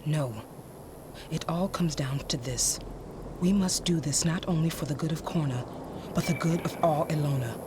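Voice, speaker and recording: Actress as ruthless commanding ruler, imperious, measured formal speech, game cutscene, clear background noise. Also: female voice, deep voice, close to an everyday voice. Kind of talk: video game cutscene acting.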